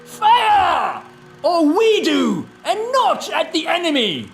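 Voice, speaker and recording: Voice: extremely high pitch, huge pitch swings, takes it slowly, vocal fry, over music, full character voice. An actor voicing a stern commanding officer in a declamatory war cartoon style. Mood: furious command. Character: stern commanding officer demanding fighting spirit. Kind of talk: dramatic war cartoon acting.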